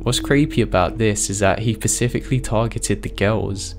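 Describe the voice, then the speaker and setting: Low-voiced man with a strained, hoarse voice. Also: normal speed, slight background noise. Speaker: horror story narrator, channel host. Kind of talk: scripted horror story narration.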